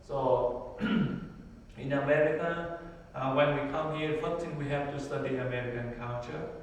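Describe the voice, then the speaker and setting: A Buddhist monk with a Vietnamese accent who answers interview questions in simple English, pausing often and filling gaps with hesitations. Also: male voice, mid-range voice. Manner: halting, many pauses and fillers, simple English. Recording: echoey hall, far from the mic, faint noise.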